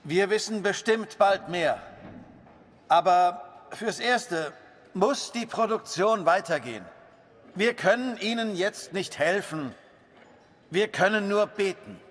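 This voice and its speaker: A man's voice: high voice, animated, slow pace, long pauses, a bit bright, exaggerated voice. An actor playing a grave, concerned factory man in a dramatic video game scene. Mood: solemn. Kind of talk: dramatic game dialogue in German. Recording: long hall reverb, faint music.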